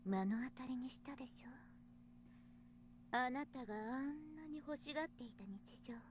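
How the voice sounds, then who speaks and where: A woman voicing a cruel young female mastermind in a Japanese visual novel, gloating with a breathy, drawn-out taunting purr. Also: sits high, background noise, lightly acted. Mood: cold taunting.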